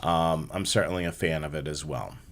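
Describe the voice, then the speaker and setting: A man's voice: rumbling low, fairly quick, gravelly, a bit bright. A man hosting a live hobby show, explaining things step by step in an informal slideshow presentation.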